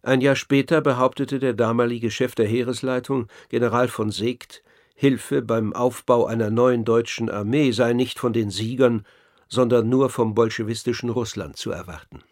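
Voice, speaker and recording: Guy narrating a radio documentary, measured clear essay style reading, dry studio sound. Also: mid-range voice, fairly even intonation, darkish tone, no room sound.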